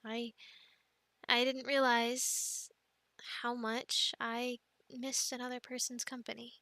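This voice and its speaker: Casting: actress for an affectionate, devout young girlfriend in an intimate audio roleplay; bright, leisurely delivery, giggly and hesitant. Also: fairly high pitch, level tone, no room sound, heavily acted. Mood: sincere, grateful. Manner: giggly, hesitant, chatty with fillers and pauses. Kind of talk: soft girlfriend roleplay, casual improvised-sounding chat.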